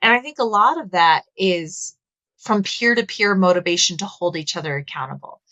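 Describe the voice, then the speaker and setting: A woman with a Texas accent speaks in a somewhat low voice, explaining her views at a measured, thoughtful pace with the odd "um" and "you know". The sound is dry and close, with no room around it. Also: lively intonation, bright, forceful tone, no room sound. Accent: Texan. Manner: thoughtful, explanatory, with filler words. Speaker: guest. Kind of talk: conversational interview.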